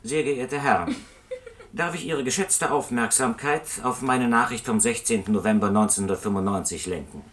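An actor playing an aggrieved customer in a radio play, dictating complaint letters with formal, pointed politeness. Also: male voice, mid-range voice, normal speed, fairly bright tone, lightly acted. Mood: irritated, indignant.

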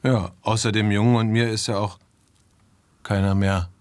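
An actor playing a dry, gruff, sardonic police sergeant in a radio drama. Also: male voice, fairly low pitch, steady tone, moderate pace, very noisy, close to an everyday voice. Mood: dry, guarded.

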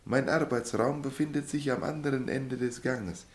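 A male actor as a young Indian lab trainee in a radio mystery. He is impeccably courteous and speaks in stilted, measured sentences at a medium pitch. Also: lightly acted. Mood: polite, measured. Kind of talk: old German radio mystery play acting.

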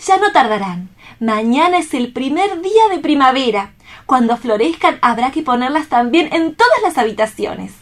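An actress playing a compassionate, tender-hearted young woman reporter in a radio play, expressive and theatrical. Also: high-pitched, normal speed. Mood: cheerful.

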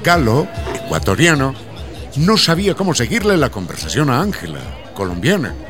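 An actor narrating a radio soap as its storyteller, in a dramatised scripted style. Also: male voice, medium pitch, very animated, moderate pace, fairly bright tone, some chatter behind. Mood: wry storytelling. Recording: music behind the voice.

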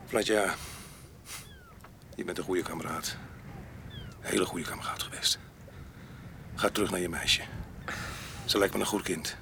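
An actor playing a rough, weary fugitive murderer in a radio drama, gruff and plain-spoken but fatherly to his comrade. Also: deep voice, fairly even intonation, measured pace, pauses often, background noise. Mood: urgent, fatherly.

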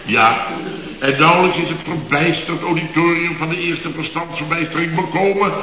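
A man's voice: on the high side, normal speed, sharp tone, slight background noise. An actor playing an indignant middle-aged company boss in a radio comedy, sarcastic and sputtering as he repeats himself. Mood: sarcastic indignation. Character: blustering, indignant middle-aged Dutch building contractor boss. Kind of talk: 1970s radio comedy acting. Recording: dull, echoey old radio recording.

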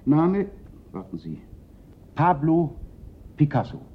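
Panicky police prefect, radio drama, theatrical, exasperated, self-pitying, highly animated pitch, dull recording. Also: male voice, slow. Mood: suspicious, insistent. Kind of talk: German radio play acting.

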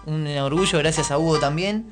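A man's voice: on the high side, a little fast, music in the background. A man with an Argentine accent hosting a radio show in a casual, chatty way.

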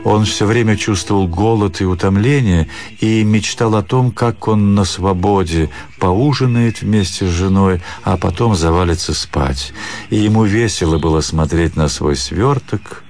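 A man's voice: sits low, moderate pace, a little mellow, slight background noise. A man narrating a literary audio drama as a scripted reading. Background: music.

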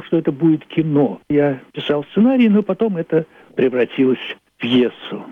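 Older man, husky voice, dry thin radio sound, thoughtful, deliberate literary phrasing, reflective monologue. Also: fairly high pitch, no room sound. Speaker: playwright. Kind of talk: reflective interview monologue about own work. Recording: thin phone-like radio recording.

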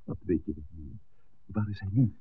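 A man's voice: low-pitched, moderate pace, phone-quality sound. An actor playing a serious man in a 1960s village radio drama. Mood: concerned. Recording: thin, noisy old radio recording.

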